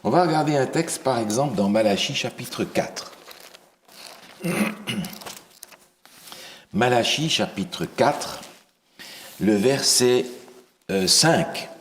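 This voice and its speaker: A man preaching a Bible study, asking the listeners questions and pausing on fillers and restarts. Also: medium pitch, lively intonation, slight background noise. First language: not English. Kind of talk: interactive Bible teaching.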